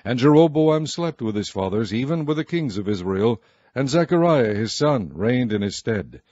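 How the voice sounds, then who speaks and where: A man's voice: mid-range voice, animated, normal speed, warm tone, no echo. Man reading scripture, dry muffled sound. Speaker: Bible reader.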